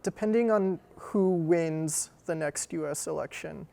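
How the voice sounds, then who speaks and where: A man's voice: on the high side, measured pace, gravelly, mellow. A young man moderating a student panel, reading formal prepared questions.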